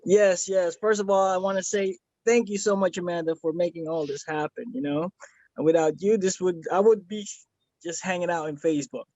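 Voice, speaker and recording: A man's voice: high-pitched, fairly even intonation, brisk, a little mellow, no room sound. A man from the Philippines giving an earnest, rambling testimonial over a video call, with many fillers and restarts. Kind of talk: casual video-call chat.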